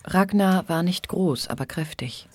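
A man's voice: sits high, unhurried, darkish tone. A man giving scripted literary narration in a radio play.

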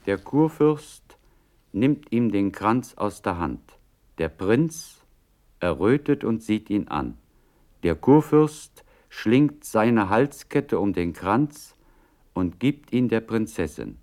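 A man's voice: fairly low pitch, sing-song, takes it slowly, a little mellow. A man reading scripted stage directions as the narrator of a classical radio play.

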